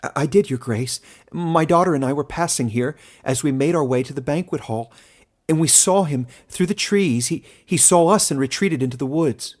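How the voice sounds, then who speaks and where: A man puts on a fearful village woman in a fantasy storybook. The pitch is raised above his own, and the delivery is hesitant and humbly deferential. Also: moderate pace, lightly acted. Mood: anxious. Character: timid, fearful village woman witness, a mother.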